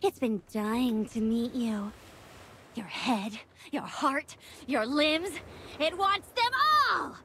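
A woman's voice: a little high, slow pace, noisy background. An actress playing a deranged, vengeful young woman in a video game, with a theatrical, mocking, sing-song menace. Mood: bloodthirsty. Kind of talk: video game character acting.

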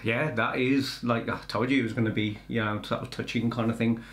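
A middle-aged man giving a casual film trailer review in halting, rambling explanations. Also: medium pitch, fairly even intonation, background noise. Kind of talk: casual reaction chat. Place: indoor room with bookshelves. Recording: echoey indoor room.

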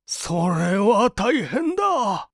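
Man acting emphatic, blustering older gentleman, slow delivery. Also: high voice, sing-song, vocal fry, dry recording, clean studio sound, pitched above the performer's own voice, exaggerated voice. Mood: agitated. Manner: emphatic, old-fashioned, grandly exclaiming. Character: well-off older gentleman, blustering yet kindhearted, easily moved.

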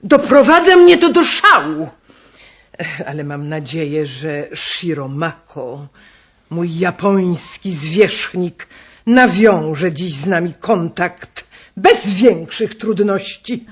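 A stout middle-aged medium in a radio play, loud and common. Her husky voice drawls slowly and swoops about with self-importance. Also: lowish voice, expressive, full character voice. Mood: self-satisfied.

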